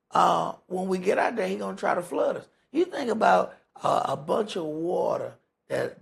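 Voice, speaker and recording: Gravelly, husky preacher. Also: male voice, high voice. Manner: emphatic, rhetorical questions, folksy phrasing. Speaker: preacher leading a Bible study. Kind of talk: conversational sermon with scripture commentary.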